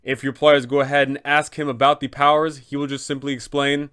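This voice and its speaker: A man's voice: medium pitch, little pitch movement, a little fast, fairly bright tone, clear, resonant tone. A man hosting a casual explanatory guide for tabletop game masters, talking in a conversational way. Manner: conversational, rhetorical questions. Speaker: host, game master giving advice.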